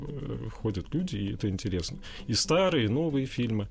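A man speaking informally as an interview guest, searching for words in a halting, slangy, colloquial way. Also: medium pitch, very animated, creaky. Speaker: interview guest, animation artist.

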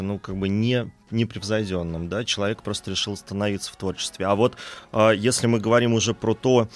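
Low-voiced man explaining hesitantly. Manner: hesitant, searching for words. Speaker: expert guest on animation history. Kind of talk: conversational lecture in a discussion.

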